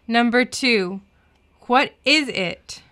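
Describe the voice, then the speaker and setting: A woman reads English practice dialogues for a children's lesson. Her voice is bright and very clean, and she takes each phrase slowly with careful enunciation. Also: fairly high pitch. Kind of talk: slow scripted language-lesson reading.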